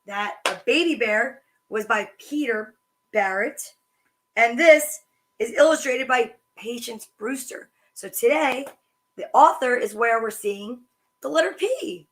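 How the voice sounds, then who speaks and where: A woman hosting a children's story time, reading picture books aloud with chatty asides in a sing-song, animated way. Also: a little high.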